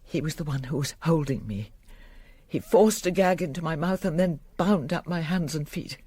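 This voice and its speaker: A woman's voice: sits low, normal speed, warm tone, husky. An actress playing a dignified, shaken middle-aged wealthy woman, newly widowed, in a radio drama. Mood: shaken, recounting.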